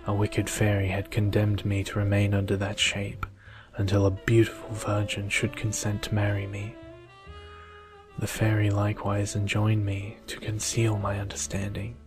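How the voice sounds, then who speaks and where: A man voicing a grateful, courteous young prince in a gentle, formal ASMR storybook reading. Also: on the low side, monotone, normal speed, music in the background, natural voice. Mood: grateful, calm.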